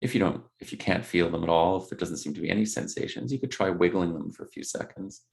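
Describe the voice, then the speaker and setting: A man leading a guided body scan meditation, speaking gently in unscripted, hesitant phrases. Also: sits low, animated, fast talker, creaky.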